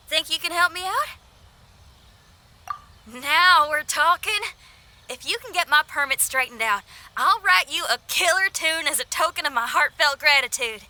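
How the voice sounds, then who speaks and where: A woman playing a spirited, tenacious young female rock musician in a video game, with a folksy, expressive way of talking. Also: sits high, exaggerated voice. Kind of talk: video game cutscene voice acting. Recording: faint music behind.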